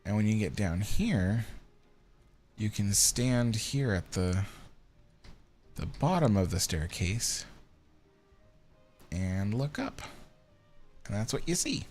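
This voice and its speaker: A man in his thirties with a somewhat low voice talks casually on a livestream. He rambles, restarts his sentences and fills the gaps with ums. He sounds tired and yawns now and then. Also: expressive, slow pace, long pauses, darkish tone, soft background music. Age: 30s. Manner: rambling, reads viewer comments aloud, many ums. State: tired, yawning. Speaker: livestream host.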